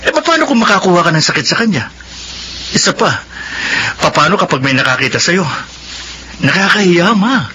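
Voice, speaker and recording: Indignant young Filipino male doctor, forceful scolder; high, bright voice, radio drama, faint ambient noise. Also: very animated, moderate pace, pauses often, heavily acted. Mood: reproachful, stern. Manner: forceful, reproachful.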